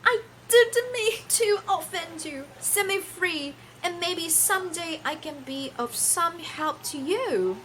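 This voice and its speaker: A tiny storybook mouse boy, voiced by a woman, pleads in a high voice that swoops up and down. He is scared and deferential, stretching out his polite begging. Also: animated, slow, few pauses, a bit bright, a little hiss or hum, full character voice. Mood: pleading, hopeful.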